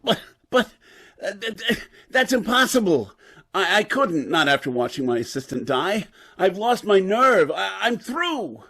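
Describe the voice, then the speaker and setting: Man acting a nervous, high-pitched stammering professor. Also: sing-song, measured pace, sharp tone, heavily acted. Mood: panicked. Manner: stammering, hesitant, anxious. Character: nervous, guilt-ridden male professor, reluctant rogue surgeon. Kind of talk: old-time radio drama acting.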